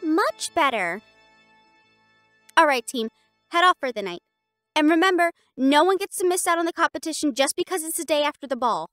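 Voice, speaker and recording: A woman performs a young catgirl cheerleader captain from an audio roleplay, with a high, girlish pitch. She is bossy and sassy, punching her words with sharp-tongued mean-girl confidence. The sound is dry, with no room around the voice. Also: animated, moderate pace, pauses often, no echo, soft background music. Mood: bossy, loud. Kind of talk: scripted audio roleplay acting.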